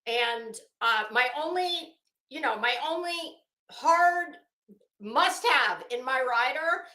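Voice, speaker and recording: A woman hosting a livestream, rambling casually in an animated, theatrical, self-mocking way. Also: high-pitched, slow pace. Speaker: livestream host. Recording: echoey, roomy sound.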